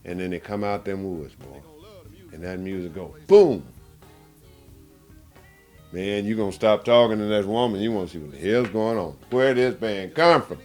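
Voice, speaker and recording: A man with a very low, deep voice talks about performing as a blues singer and guitarist. He speaks emphatically and colloquially, acting out his points as he goes. Soft music plays faintly behind him. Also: normal speed. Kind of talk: casual interview.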